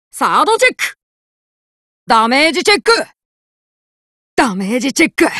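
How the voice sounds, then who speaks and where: A woman voicing a brash, cocky young male card fighter who boasts and shouts battle chants, recorded as game voice lines. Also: very high voice, no echo, exaggerated voice. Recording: dry studio recording.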